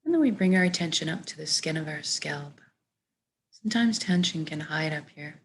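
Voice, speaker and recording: A woman leading a guided meditation, speaking in a gentle, measured, soft way as she gives soothing instructions. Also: deep voice, slight background noise.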